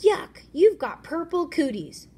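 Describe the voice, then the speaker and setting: A woman voicing jeering storybook bullies with loud, taunting, sing-song insults. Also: extremely high pitch, very animated, slow, pitched above the performer's own voice, heavily acted. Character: jeering fishing hooks hurling playground insults.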